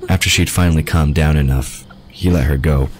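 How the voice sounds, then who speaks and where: A man's voice: very deep voice, steady tone, brisk. A man narrating a scripted fantasy audio drama. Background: ambient sound effects.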